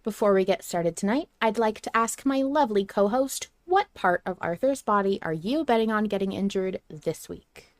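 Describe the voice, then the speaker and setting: A woman's voice: mid-range voice, animated, normal speed, no room sound. A woman hosting a podcast, talking in a casual, conversational way. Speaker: podcast host.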